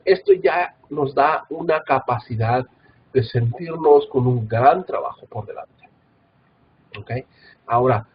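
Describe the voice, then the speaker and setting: An earnest man with a Guadalajara Mexican Spanish accent lectures a Bible class at a medium pitch, filling his pauses with "ah" and checking in with "¿no?". The recording is a little dull and lo-fi, with a faint hiss underneath. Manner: earnest, many filler "ah" and tag questions. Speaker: Bible institute professor.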